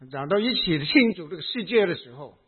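A man with a Hong Kong accent preaching a sermon in short phrases, pausing between them for an interpreter. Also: high voice, lively intonation. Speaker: pastor. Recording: tinny phone-like sound.

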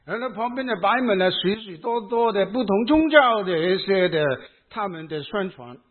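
A man with a Hong Kong accent preaches a sermon in short phrases, leaving pauses for his interpreter. His voice is somewhat high and husky. The sound is thin and phone-like. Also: lively intonation. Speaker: pastor.

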